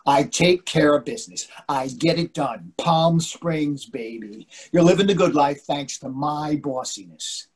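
A man's voice: fairly high pitch, slow, husky, poor audio. An older man reading the part of an anxious, bossy husband in a stage play, fretful and full of questions. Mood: exasperated, wry. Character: anxious, bossy, needling husband in long marriage. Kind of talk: readers theatre play reading. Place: indoors on a video call.

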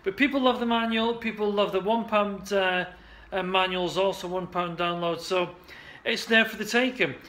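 Upbeat man pitching to camera. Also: fairly even intonation, normal speed, fairly bright tone. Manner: chatty and upbeat, with many restarts and "uh"s. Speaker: salon marketing coach. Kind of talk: casual direct-to-camera pitch. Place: indoor studio office.

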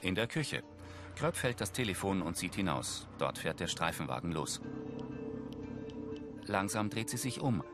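Deep male narrator reading scene descriptions, lively pitch movement, music underneath. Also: a little slow, long pauses. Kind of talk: scripted narration.

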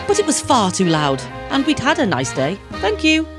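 A woman's voice: on the high side, huge pitch swings, normal speed, over loud music. A woman narrating a travel vlog in voice-over, wry and upbeat.

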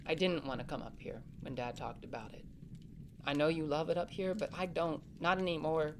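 A woman's voice: sits low, steady tone, a little fast, noisy background, natural voice. A woman playing an anxious, protective older sibling in a family audio drama, speaking earnestly and reassuringly. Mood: sad, vulnerable.

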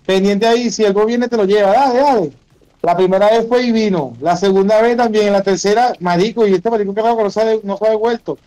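A man's voice: high voice, fairly quick, mellow, rough recording. A man with a Venezuelan accent chatting casually over a game, slangy and bossy, repeating his instructions to teammates. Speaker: gaming streamer. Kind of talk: casual gaming chat with teammates.

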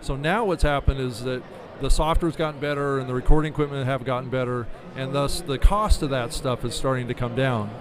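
A man's voice: mid-range voice, fairly even intonation, fairly quick, few pauses, a crowd in the background. A middle-aged man giving conversational interview answers in an explanatory way, often checking with "right?". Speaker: interview guest, podcast business manager. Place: convention expo booth, indoors. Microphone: studio microphone on a desk stand.

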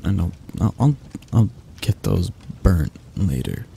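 Man acting a mafia boss, possessive, condescending, slow drawl, lively swings in pitch, intimate ASMR roleplay. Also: vocal fry, a little hiss or hum, full character voice.

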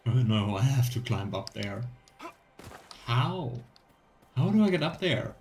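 A man's voice: mid-range voice, big pitch swings, measured pace, creaky, a little mellow, faint music behind. A man in his thirties giving casual game commentary as a streamer, musing aloud to himself. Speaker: let's play streamer. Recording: game ambience noise behind voice. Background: video game ambience.

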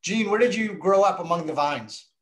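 A man's voice: high voice, animated, normal speed. A middle-aged American man hosting casually and chatting over a video call. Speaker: host of a virtual wine tasting. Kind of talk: casual chat. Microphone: video call microphone.

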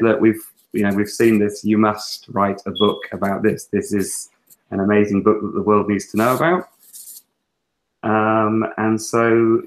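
A man's voice: fairly low pitch, rough recording. A man in his thirties from England with an English accent, a guest talking casually in a webinar interview, full of fillers and restarts, on an earphone mic in a small room at home. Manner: chatty, lots of fillers and restarts. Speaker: guest teacher and author. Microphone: earphone headset on a video call.

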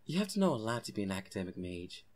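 A woman voicing an eager young boy in an earnest, expressive way for a comic fan dub. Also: low voice, racing. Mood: casual, friendly. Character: young boy who befriends a forest girl, eager, defiant.

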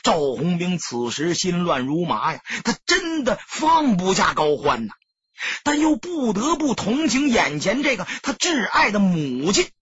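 Male audiobook narrator, very husky, lively pitch, dramatic scripted read, close dry slightly muffled sound. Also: unhurried, no echo.

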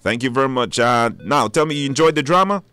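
A man's voice: medium pitch, expressive, quick pace, pushed and bright, smooth. A man hosting a live radio show, announcing informally with hesitations and repetition. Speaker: radio talk show host. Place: dry radio studio.

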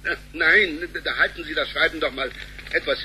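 A pompous, sarcastic office man in a sci-fi radio play. His voice is very bright and forceful, his phrasing florid and indignant, over slight background noise. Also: a little high, normal speed, rough recording. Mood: baffled, flustered. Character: wordy, flippant, indignant male bank employee. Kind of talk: German sci-fi radio drama acting.

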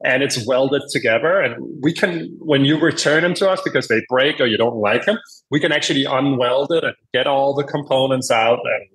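A man's voice: medium pitch, moderate pace, a bit bright, poor audio. A man, talking in an emphatic, informal way and often checking in with "right?" as he explains things. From Denmark. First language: Danish. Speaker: podcast guest, hearing aid company executive. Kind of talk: casual conversational explaining.

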